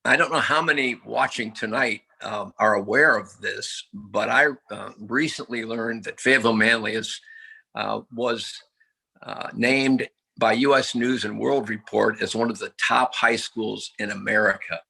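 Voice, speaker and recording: A man in his seventies giving a humble, halting acceptance speech over a video call, with many fillers and self-deprecating jokes. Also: on the low side, steady tone, gravelly, bright, forceful tone, breathy edge, poor audio. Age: 70s.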